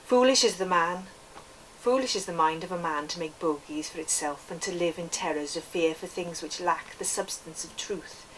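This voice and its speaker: A woman voicing an earnest Welsh boy narrator from a storybook memoir, with a put-on Welsh accent and a lilting, measured, lyrical delivery. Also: medium pitch, natural voice. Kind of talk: expressive literary reading. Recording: distant microphone, background noise.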